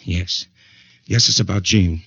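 An actor playing a guilty, desperate man who soothes his sweetheart, in forceful, husky 1940s radio drama style. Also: low-pitched, measured pace, full character voice. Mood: soothing, urgent. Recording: muffled 1940s radio recording.

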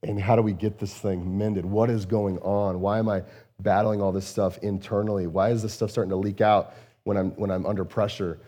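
A man, a lead pastor, preaching in an earnest, conversational way, often repeating words and using fillers, his voice a bit strained and hoarse. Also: fairly low pitch, level tone, fairly quick, warm tone. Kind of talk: conversational sermon.

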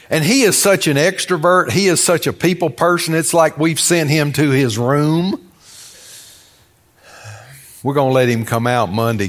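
An American man preaching a sermon in a folksy, conversational way, telling stories, repeating phrases for emphasis and asking rhetorical questions. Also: medium pitch.

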